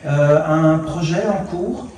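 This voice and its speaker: A man's voice: on the high side, slow, mellow. A man from Belgium interviewing authors at a book festival, asking polite questions between short interjections. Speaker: literary interviewer. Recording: roomy, distant, noisy venue.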